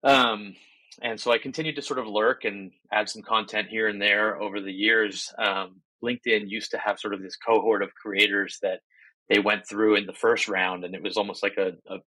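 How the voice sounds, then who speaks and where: Bright-voiced man, easygoing guest. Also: on the low side, dry recording, rough recording. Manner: relaxed, self-deprecating, frequent ums and restarts. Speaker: podcast guest. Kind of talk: casual interview talk.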